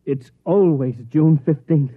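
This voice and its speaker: A furtive, anxious man in classic radio drama style. He speaks in an urgent, secretive, halting way with a husky edge. The old recording is thin and tinny. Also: a little high. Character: furtive, anxious paranoid man sharing a conspiracy.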